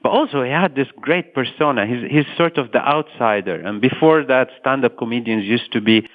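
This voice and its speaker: Lebanese man guest, thoughtful, halting; phone-line audio. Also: medium pitch. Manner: thoughtful, hesitant with fillers and restarts. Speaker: guest, satirist. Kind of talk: casual interview talk. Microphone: remote phone or call line.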